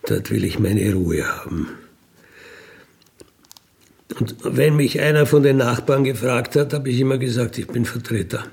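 Slow, gravelly Austrian man. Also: mid-range voice, a little mellow. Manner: matter-of-fact, addresses listener with tag questions. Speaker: ex-convict burglar telling his life. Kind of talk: candid conversational monologue.

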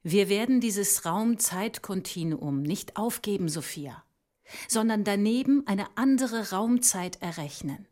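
An actress playing a resolute visionary woman mathematician in a literary radio drama, speaking in a measured, deliberate way. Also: mid-range voice, animated, a little mellow, natural voice.

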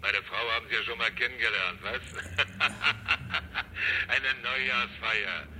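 A man's voice: mid-range voice, unhurried, slight background noise, poor audio. An actor in a radio crime drama playing a jovial, slyly menacing man who talks in a chummy, insistent way. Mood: falsely jovial. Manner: chummy, insistent, talking over the other. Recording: loud crowd bustle behind.

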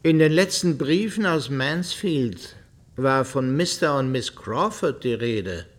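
A man playing a stern, dignified English baronet and father in a radio drama, speaking formally and with measured reproach. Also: medium pitch, lively intonation. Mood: measured, firm. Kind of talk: literary radio drama acting.

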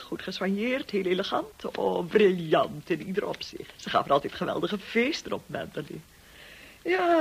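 An actress playing an imperious, gossipy older rich woman in a radio drama, husky and haughty, with a complaining drawl. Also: medium pitch, exaggerated voice.

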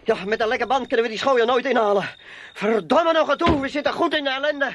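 An actor playing an agitated, cursing driver in pursuit in a radio play. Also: male voice, extremely high pitch, a little fast, fairly bright tone, lo-fi sound, slight background noise. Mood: frustrated. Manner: agitated, swears.

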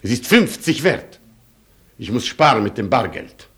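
An actor playing a proud, gruff Polish colonel of noble birth in a German radio play, pompous, clipped and commanding. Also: male voice, medium pitch, very animated, unhurried, a bit bright. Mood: indignant.

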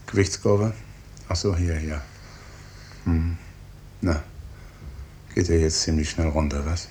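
An actor playing a stern, brooding, guilt-ridden professor and clinic chief in a 1950s German radio drama, speaking with emphatic authority. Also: male voice, low-pitched, slow pace, a little mellow, a little hiss or hum. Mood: brusque, clipped. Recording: early 1950s radio studio recording.

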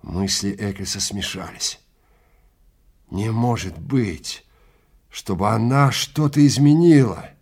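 A man narrating a science fiction audio drama theatrically, in deliberate short phrases with weighty pauses. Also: fairly low pitch, darkish tone, slightly rough. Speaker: narrator and actor.

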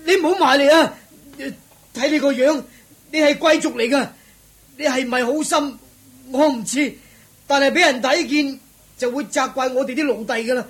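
A man's voice: extremely high pitch, normal speed, pauses often, a bit bright. A man voicing a terrified older male slave who wails, groans and pleads in a radio play. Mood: frightened. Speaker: beaten slave.

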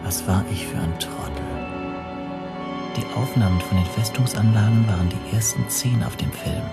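An anxious, brooding stateless man played by an actor tells his own story in a radio drama. His voice sits on the low side and he questions himself as he goes. Music plays clearly behind him. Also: moderate pace, natural voice. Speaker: first-person narrator and protagonist. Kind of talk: German radio drama narration.

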